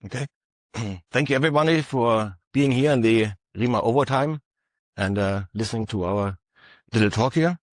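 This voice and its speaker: A man presenting his company's equipment at an industry session, speaking haltingly with many filler uhs. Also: medium pitch, no room sound, clean studio sound. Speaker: conference panelist.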